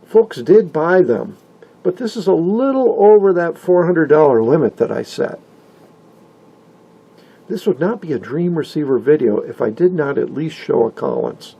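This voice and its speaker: Gravelly, husky man narrating a hobby video. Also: a little high, expressive, moderate pace, mellow. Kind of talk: scripted, enthusiastic hobbyist narration with asides.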